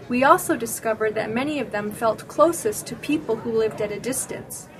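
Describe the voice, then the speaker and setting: A woman from Michigan, a sociologist and researcher, reading a prepared first-person account in a measured, even way. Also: a little high. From Michigan, United States. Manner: measured, evenly paced reading of a prepared statement. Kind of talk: scripted first-person narration.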